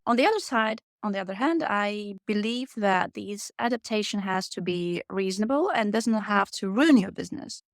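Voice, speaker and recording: A woman's voice: medium pitch, expressive, normal speed, few pauses, no echo, clean studio sound. A middle-aged woman from Russia with a Russian accent, answering conversationally as an expert podcast guest. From Moscow, Russia. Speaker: podcast guest, hospitality brand consultant.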